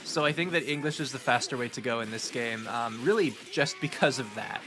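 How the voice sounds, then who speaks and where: A man with a stuffy nose giving relaxed, rambling commentary on his speedrun, with lots of ums. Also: medium pitch, a little fast, noisy background. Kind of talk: casual post-run gameplay commentary.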